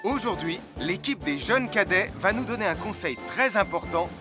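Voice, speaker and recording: A man playing a friendly, responsible firefighter in a French children's cartoon, giving clear, reassuring instructions. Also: high-pitched, lively intonation, normal speed, over music. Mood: instructive. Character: friendly, responsible adult male firefighter giving safety advice.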